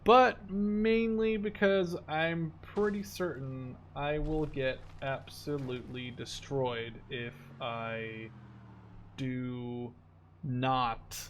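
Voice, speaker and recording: A man's voice: mid-range voice, fairly even intonation, very slow, a little hiss or hum. A man in his twenties, a native Dutch speaker, giving casual gameplay commentary. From Canada-based, Dutch. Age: 20s. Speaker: gaming video creator. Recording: game gunfire behind the voice. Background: strategy game battle sounds and gunfire.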